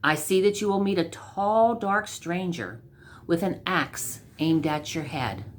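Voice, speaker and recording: A woman's voice: lowish voice, animated, a little slow. A woman voicing a mystical yet businesslike fortune teller in a storybook, with a portentous manner.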